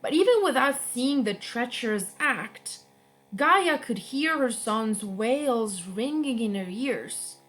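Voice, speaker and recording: A young woman reading a myth aloud for a children's storytime, slowly and expressively. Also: rough recording. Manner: slow, expressive read-aloud with lively pitch. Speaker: guest reader.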